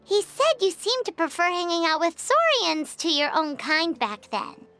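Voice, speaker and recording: Very high, bright childlike fairy companion. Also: female voice, very animated, normal speed, heavily acted. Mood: thoughtful. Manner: chirpy, inquisitive. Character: small childlike fairy companion, chirpy and inquisitive. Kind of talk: scripted video game dub acting.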